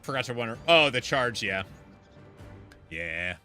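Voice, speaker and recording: A man streams a game with a bright, forceful voice and big swings in intonation. He is casual, slangy and expressive, with game music softly behind him. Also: mid-range voice, slow. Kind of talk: casual gameplay chat, reading quest text aloud.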